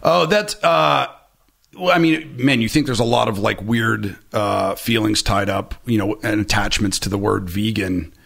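A man in his forties speaks as a podcast guest in a very low, gravelly, husky voice, close on a studio mic. He rambles candidly, piling up fillers and restarts and poking fun at himself. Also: unhurried, fairly bright tone. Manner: rambling, self-deprecating, many fillers and restarts. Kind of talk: candid conversational interview. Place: small room with bright orange shelves. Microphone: boom-arm studio mic close to mouth.

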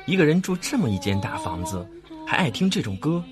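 A man's voice: fairly high pitch, very animated, a little fast. An actor playing a timid, polite, nosy young male tenant in a horror radio drama, hesitant and muttering. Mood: curious, uneasy. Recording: loud music behind the voice.

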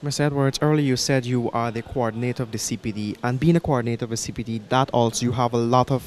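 A Guyanese man hosts a church TV interview with a handheld mic, putting formal, polite questions to his guest. His voice sits at a middle pitch. He speaks in a small church hall. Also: fairly even intonation, fast, darkish tone.

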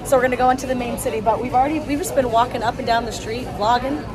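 High-voiced young woman vlogging among crowds. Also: fairly even intonation, racing. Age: 20s. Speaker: travel vlogger. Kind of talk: casual chat to camera. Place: outdoors in a busy tourist village street. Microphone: phone or camera at arm's length. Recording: outdoor phone audio with busy crowd. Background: crowd chatter.

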